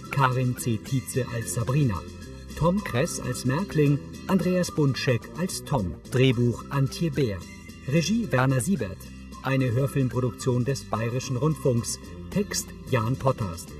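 Matter-of-fact male narrator over music. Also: medium pitch. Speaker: audio description narrator. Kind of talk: scripted narration.

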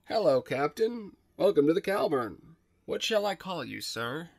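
Man playing a high-pitched, polite ship computer. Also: big pitch swings, moderate pace, vocal fry, no room sound, heavily acted. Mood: courteous. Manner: formal, servile, precise. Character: polite ship's AI computer, deferential and apologetic. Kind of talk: audio drama table read.

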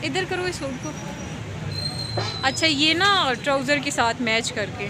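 A woman's voice: high voice, lively intonation, measured pace. A woman vlog host chatting and bargaining while she films herself shopping at a busy outdoor market, friendly and teasing. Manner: friendly and teasing, asks prices. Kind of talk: casual chat and bargaining while filming. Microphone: handheld phone camera. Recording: phone recording in a noisy market.

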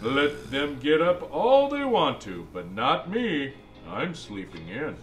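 A man's voice: on the high side, very animated, takes it slowly, a bit bright, exaggerated voice. A man voicing a jolly old Santa Claus who reads a picture book to kids in a chatty, exclaiming way. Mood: playful storytelling. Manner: exclaiming, chatty asides between lines. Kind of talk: animated storybook read-aloud. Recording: music behind the voice.

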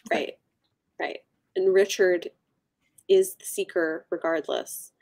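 A woman's voice: fairly low pitch, fairly even intonation, slow pace, a little mellow. A young adult woman co-hosting a book livestream in a casual, musing way, often trailing off. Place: room at home, indoors.

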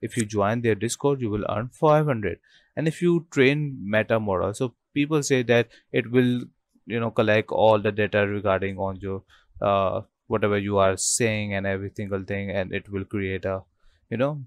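Low-pitched man giving a software tutorial. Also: fairly even intonation, no echo, studio quality. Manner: halting, repeats filler phrases like obviously and you know. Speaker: tutorial host. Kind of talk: informal software tutorial, screen walkthrough.

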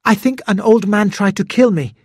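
A man's voice: sits high, husky, no echo, studio quality, pitched above the performer's own voice. A man voicing a nervous young Japanese man. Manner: halting, pleading.

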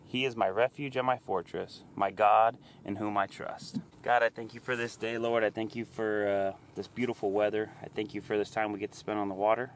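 A man's voice: lowish voice, steady tone, fairly quick, hardly any pauses. A man in his thirties, a fishing vlogger, talking casually outdoors on a small boat. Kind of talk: casual outdoor vlog talk. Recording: outdoor noise from boat on water.

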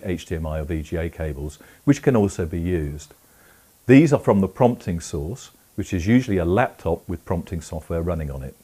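A man's voice: low-pitched, dark tone. A middle-aged man giving a scripted explainer as a presenter. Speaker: presenter for a teleprompter company. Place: indoor room full of camera equipment.

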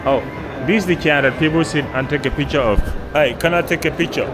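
A man's voice: a little high, a little fast. A man vlogging as he walks through a shopping mall, rambling casually to himself and to people he passes. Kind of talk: casual walking commentary. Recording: loud music in a busy mall.

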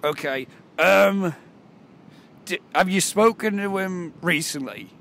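A man in his twenties acting as a flustered police detective, asking halting, repetitive questions in an improvised comedy film. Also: sits high, a bit bright, full character voice. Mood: awkward questioning. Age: 20s. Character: flustered male police detective investigating murders. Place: outdoors by a rocky seafront. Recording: noisy outdoor camera audio.

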